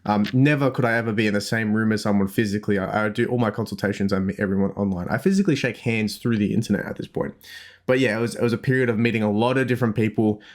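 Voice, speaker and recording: Rambling Australian man, lowish voice. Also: fairly even intonation, rapid-fire. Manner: rambling, self-deprecating, frequent restarts. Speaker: dietitian podcast host. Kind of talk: casual podcast chat.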